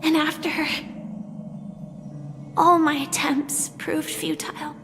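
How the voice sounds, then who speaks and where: A woman playing a gentle, wistful young woman in a video game, speaking softly and earnestly in measured, halting phrases. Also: sits high, flat delivery. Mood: solemn, wistful. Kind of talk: dramatic scripted game dialogue. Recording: long reverb, music behind. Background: game music.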